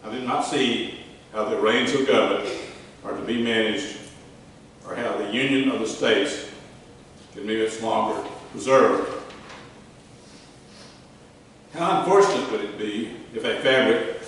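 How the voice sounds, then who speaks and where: Male reader as grave, courteous statesman, measured formal letter delivery, stage reading; distant, reverberant hall. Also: fairly low pitch, fairly bright tone. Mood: grave, earnest. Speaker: amateur actor in a dramatic reading. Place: museum room, live community theatre event. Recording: echoey hall from far off, faint noise.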